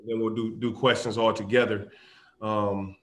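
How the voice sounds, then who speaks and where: A man's voice: fairly low pitch, level tone, moderate pace. A man in his thirties hosts a panel over a webcam video call. He speaks gratefully and encouragingly as he hands the floor to the next speaker. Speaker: host.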